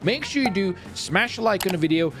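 A man giving excitable, exclamatory gaming commentary as the host of a roleplay video. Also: high-pitched, fairly quick, music in the background. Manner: animated, exclamatory, swearing.

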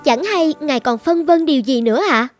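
Woman acting a high-pitched young demon wife. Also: brisk, dry recording, faint music behind, natural voice. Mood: demure, coaxing. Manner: demure, coaxing, theatrical. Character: sweet young wife, secretly a gluttonous two-mouthed female demon. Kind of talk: animated folktale acting.

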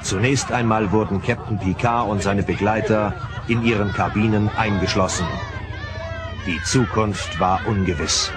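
A man's voice: fairly low pitch, fairly even intonation, unhurried, very noisy, close to an everyday voice. A man narrating a science-fiction radio play as its storyteller, in scripted style. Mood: grave narration.

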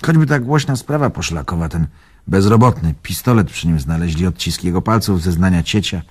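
Boastful old collector in radio drama, low raspy-husky voice, theatrical and fond. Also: male voice, expressive, a little fast. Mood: nostalgic, admiring. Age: older. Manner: theatrical, effusive reminiscing.

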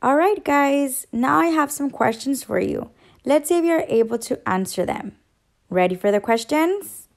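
A woman speaks to young children as a teacher and story reader. Her voice sits on the high side and her delivery is very slow. She explains and questions in an encouraging, instructional way. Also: animated, gravelly. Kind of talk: children's read-aloud and quiz.

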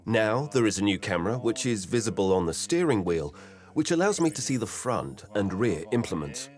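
French-accented man, deep and expressive. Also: normal speed. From France. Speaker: farmer. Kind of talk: customer testimonial interview. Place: tractor showroom.

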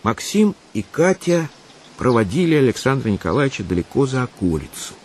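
A man's voice: mid-range voice, big pitch swings, brisk, a little mellow, slight background noise. A man narrating a radio play in a literary, scripted storytelling style.

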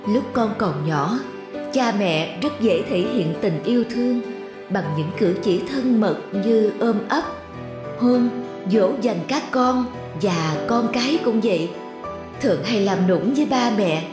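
A woman's voice: mid-range voice, expressive, takes it slowly, echoey room, over music. A woman reading scripted narration for a moral story.

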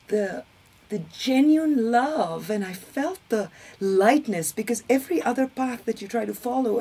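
A woman in her thirties with an Indian accent and Hindi as her first language, giving personal testimony in an expressive, emphatic way. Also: mid-range voice. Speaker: interview guest, spiritual community member. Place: indoor set with curtain backdrop, seated. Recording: clear background noise.

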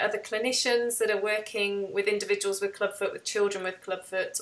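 Woman interviewer, bright forceful voice, medium pitch, encouraging, halting phrasing, conversational. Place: indoor room, video call. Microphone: computer on video call.

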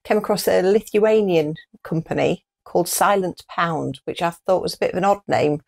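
A woman's voice: lowish voice, moderate pace, vocal fry, a little mellow. A middle-aged woman with a British accent, chatting casually as the co-host of a show. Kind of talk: casual chat. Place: small wood-panelled room, indoors.

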